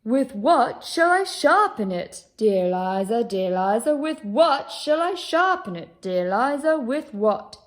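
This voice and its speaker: A woman voicing a hapless, helpless man from a children's storybook, with plaintive, drawn-out sing-song questions. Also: on the high side, sing-song. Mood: puzzled, plaintive.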